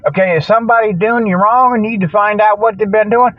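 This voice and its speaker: High-pitched man, folksy radio host, phone-like sound. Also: steady tone, fast talker, dry recording. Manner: folksy, rambling, chuckling at own jokes. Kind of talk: rambling comedic mock sponsor pitch. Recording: thin phone-like band-limited sound.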